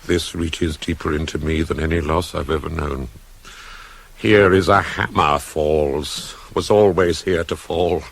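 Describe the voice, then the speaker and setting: Slow, grief-stricken tragic king. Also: extremely low pitch, steady tone, creaky, exaggerated voice. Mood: hushed grief. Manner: declamatory, slow, heavily dramatic. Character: grief-stricken ancient Greek king, proud, widowed, raging. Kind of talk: 1980s radio play, classical tragic verse acting.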